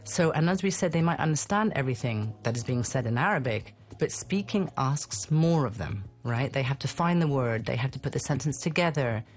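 Very low-voiced woman life coach. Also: animated, fairly quick, few pauses. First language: English. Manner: thoughtful, reassuring, many restarts. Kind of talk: radio talk show conversation.

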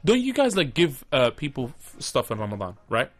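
A young man co-hosting a radio show, chatting casually and jumping in with quick stories and asides. His mid-pitched voice swings widely up and down. The studio sound is dry and close. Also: no room sound. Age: young adult. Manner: lively, quick asides, storytelling. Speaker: radio co-host.

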